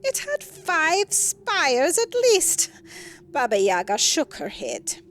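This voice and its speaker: A woman narrating a scripted fairy tale with expressive, deliberate emphasis. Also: high-pitched, a little hiss or hum. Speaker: story narrator.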